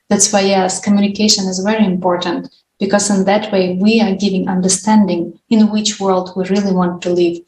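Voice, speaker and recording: A woman's voice: medium pitch, a little mellow. Woman hosting in a roomy, echoey space. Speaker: discussion host. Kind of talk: online panel discussion, unscripted. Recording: roomy echo, distant mic, faint noise.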